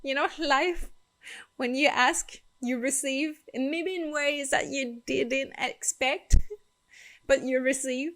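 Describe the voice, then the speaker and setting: A woman with a Quebecois French accent speaks slowly in a somewhat high voice, telling her own story. She fills pauses with frequent ums and breaks into light laughs between phrases. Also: fairly even intonation, a bit bright. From Quebec, Canada. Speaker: channel host. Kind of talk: personal vlog storytelling.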